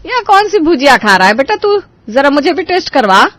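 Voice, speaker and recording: A woman's voice: sits high, sing-song, brisk, fairly bright tone, no echo. A woman playing a bossy, complaining, food-loving middle-aged mother-in-law in a story. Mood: demanding.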